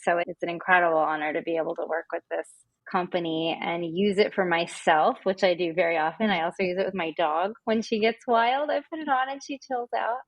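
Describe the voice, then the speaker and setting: Enthusiastic lower-voiced woman, close and dry. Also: fairly quick, no room sound, dull audio. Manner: enthusiastic, gushing. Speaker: podcast guest, wellness app representative. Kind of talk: casual conversational explanation.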